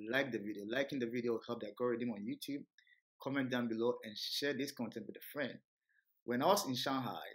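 A man's voice: mid-range voice, poor audio. A man hosting a street interview, asking upbeat, direct questions. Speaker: host. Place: city street outdoors.

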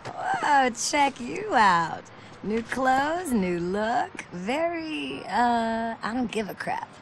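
A woman's voice: fairly high pitch, very animated, extremely slow, a little hiss or hum, heavily acted. An actress voicing a streetwise, sarcastic young punk woman in a video game cutscene. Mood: wry, teasing. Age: young adult. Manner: wry, sarcastic, teasing.

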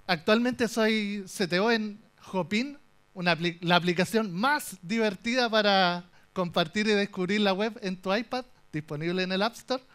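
A man's voice: sits high, moderate pace, clear, resonant tone. A young man with a Chilean accent giving a humorous tech talk on a conference stage, telling the story with jokes and asides. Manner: animated, joking storyteller. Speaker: conference speaker.

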